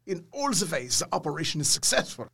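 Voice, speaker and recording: Old doctor in audio drama, husky, very animated pitch, fatherly but bossy, odd foreign wording. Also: exaggerated voice. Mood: firm, instructing. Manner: deliberate, emphatic, quaintly foreign phrasing.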